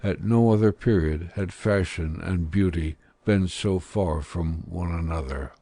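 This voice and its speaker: A man giving a measured, scripted literary narration as an audiobook reader. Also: low-pitched, warm tone, no echo.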